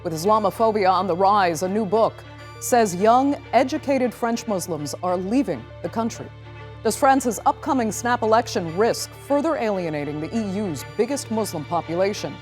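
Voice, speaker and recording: A woman hosting a news program, reading a script in a clear, measured way with emphatic openers. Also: mid-range voice, animated, a little mellow, faint music behind. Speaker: news show host.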